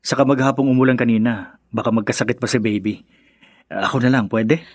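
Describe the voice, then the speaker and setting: A man playing a dutiful, affectionate young Filipino husband and son in a radio drama, gentle and placating. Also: medium pitch, brisk, dry recording, clean studio sound, close to an everyday voice. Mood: apologetic, caring.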